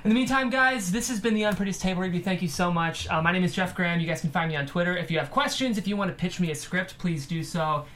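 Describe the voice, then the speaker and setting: A man's voice: high voice, fast talker, few pauses, fairly bright tone. A man hosting a script table-read show in a casual, chatty style. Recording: background noise, room ambience.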